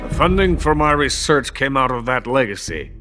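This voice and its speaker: An actor playing a bitter, proud, drunken older Soviet weapons scientist in a video game, with a brooding, theatrical delivery. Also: male voice, mid-range voice, lively intonation, moderate pace, close to an everyday voice. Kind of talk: dramatic video game cutscene acting. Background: music.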